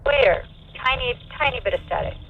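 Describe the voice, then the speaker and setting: A woman's voice: high-pitched, lively intonation, a little fast, phone-quality sound. A woman giving short signal-check replies over a two-way radio. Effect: two-way radio transmission. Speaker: test partner giving signal reports. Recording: crackly walkie-talkie with loud static.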